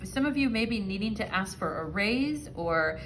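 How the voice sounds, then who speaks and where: A woman's voice: medium pitch, expressive, normal speed, a bit bright, slight background noise, low-quality recording. A woman giving a casual, rambling tarot reading to her viewers, often checking in with "okay" and "you know". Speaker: tarot reader.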